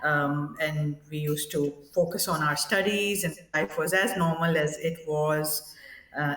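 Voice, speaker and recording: A woman's voice: lowish voice, reverberant, recorded from a distance, low-quality recording. A woman in her thirties from Pakistan, a guest on a podcast interview, talking with many fillers and restarts. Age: 30s.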